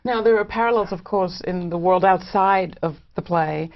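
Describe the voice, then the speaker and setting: A woman interviewer speaks in a measured, conversational style, reworking her questions as she goes. Her voice has plenty of vocal fry. The audio is a little dull and lo-fi. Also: on the low side. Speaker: interview host.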